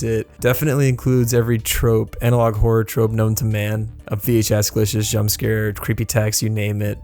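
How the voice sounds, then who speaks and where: A man's voice: fairly low pitch, a little mellow. A man giving casual, reflective commentary as a guest video creator. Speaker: guest creator of a horror web series.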